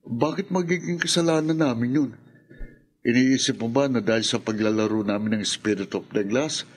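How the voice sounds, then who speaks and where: A man's voice: medium pitch, moderate pace, husky, close to an everyday voice. An actor playing a defensive, hot-tempered young man with an emphatic delivery in a Filipino radio horror drama. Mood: defensive, irritated.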